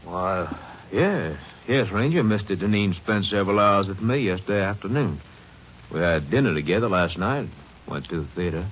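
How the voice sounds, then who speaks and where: A man playing a formal, guarded attorney in a 1950s radio drama, measured and hesitant. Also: deep voice, lively intonation. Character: guarded, formal male attorney, reluctant to talk. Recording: muffled old radio recording, faint noise.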